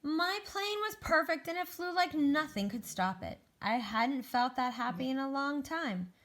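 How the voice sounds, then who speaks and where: A woman's voice: high-pitched, animated, a little slow, a bit bright, a little hiss or hum, pitched above the performer's own voice. A woman voicing an anxious, wistful egg who narrates its own story earnestly in a children's picture book read-aloud. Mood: happy. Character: anxious, wistful egg recovering from a great fall.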